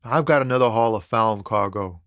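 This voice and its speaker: An actor playing a laid-back, philosophical lorry driver and amateur poet in a video game, talking in a wry, easygoing, slangy way. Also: male voice, fairly low pitch, normal speed, a bit bright, dry recording, clean studio sound, lightly acted. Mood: casual, guarded. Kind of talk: video game character dialogue.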